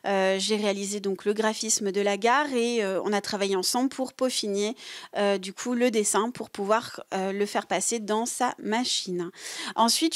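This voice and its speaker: A woman from France, a maker of small crafts, walks through her process in a hesitant, filler-laden way. Her voice sits a little high. The sound is dry and close, with no room around it. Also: dry recording. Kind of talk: workshop demonstration talk. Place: craft workshop.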